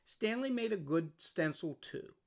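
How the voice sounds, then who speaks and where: Creaky-voiced reader of a children's storybook. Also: mid-range voice, expressive, measured pace. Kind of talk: scripted children's story narration, read aloud.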